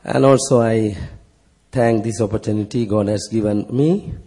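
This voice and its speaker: A middle-aged man preaches with a Sri Lankan accent and a husky, mellow voice. He speaks halting, broken English in short phrases, leaving space for an interpreter. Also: lowish voice, fairly even intonation. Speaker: visiting preacher.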